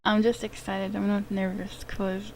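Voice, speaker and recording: A woman's voice: medium pitch, flat delivery, measured pace, darkish tone. Chatty Israeli woman hosting a livestream. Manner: chatty, thinks aloud, answers viewer comments. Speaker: livestream host. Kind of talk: casual livestream chat with viewers.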